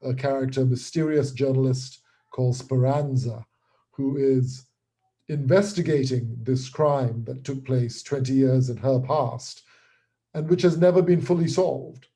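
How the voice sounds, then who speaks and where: A man who is a writer and creator, explaining his work in an interview in articulate, deliberate phrases broken by pauses. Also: medium pitch, warm tone, slightly rough.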